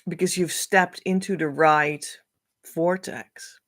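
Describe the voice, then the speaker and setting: A middle-aged woman whose first language is Dutch, giving an oracle card reading as a spiritual coach, speaking to camera in a slow, musing way. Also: low voice, fairly even intonation. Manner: slow, musing, drifts between soft and louder emphasis.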